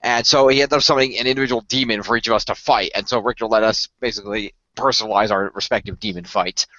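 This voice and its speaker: A man's voice: fairly low pitch, steady tone, bright, forceful tone, no echo, lo-fi sound. A man chatting casually in a group discussion, rambling and correcting himself as he goes. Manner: rambling, self-correcting, quick talker. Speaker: radio play cast member.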